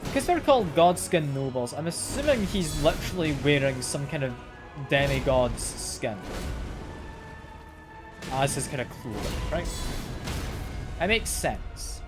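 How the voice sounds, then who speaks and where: Stuffy-nosed guy streaming a game, rambles and trips over his words, bit high voice, game music going behind. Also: animated, measured pace, long pauses. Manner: chatty, stumbles and repeats words. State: congested, slightly ill. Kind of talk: casual gameplay commentary.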